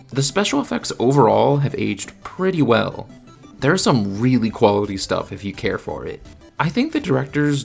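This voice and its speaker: A man with a low voice and a creaky edge delivers a video review. He is matter-of-fact and opinionated, talking through a script conversationally. Soft music sits behind him. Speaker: video essayist and film reviewer.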